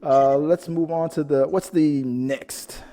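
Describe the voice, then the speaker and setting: Mellow man hosting in a reverberant space. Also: a little high, fairly even intonation, slow pace. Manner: rambling, repeats words and phrases. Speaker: host of a panel show. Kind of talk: casual chat about audience polls. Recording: long echoey hall reverb.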